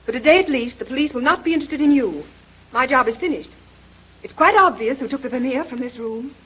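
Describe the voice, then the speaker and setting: A woman's voice: high voice, lively intonation, a little fast, fairly bright tone, breathy edge, heavily acted, rough recording. An actress playing a self-assured young woman detective in a 1930s radio drama. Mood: cool, assured.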